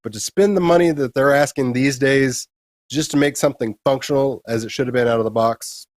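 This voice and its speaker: A man's voice: mid-range voice, brisk, a little mellow, no room sound. Blunt man talking games, dry close sound. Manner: blunt, emphatic, mildly crude slang. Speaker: co-host of a retro gaming show. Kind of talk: opinionated casual gaming discussion.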